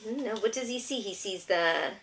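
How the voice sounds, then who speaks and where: A woman's voice: medium pitch, moderate pace, vocal fry, a bit bright, a little hiss or hum. A woman, a homeschooling mother, reading a picture book aloud to her young child with patient, encouraging, simple questions.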